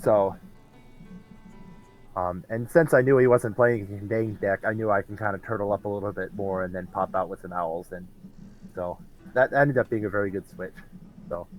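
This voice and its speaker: A man with a gravelly, hoarse voice from a cold, talking casually and hesitantly about the games he won. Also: on the low side, moderate pace, long pauses, faint music behind, low-quality recording. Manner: hesitant, restarts often. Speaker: tournament winner, interviewee. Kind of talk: casual post-match chat.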